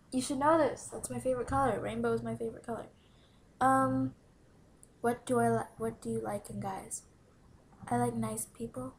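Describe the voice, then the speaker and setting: A giggly teenage girl with a fairly high voice talks straight into a close webcam in a small room. She answers questions in a bubbly, playful way, often dropping to a whisper. A faint hiss of background noise sits under her voice. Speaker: vlogger answering follower questions. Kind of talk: casual Q&A vlog. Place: small room indoors by a window. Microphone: webcam from below at close range.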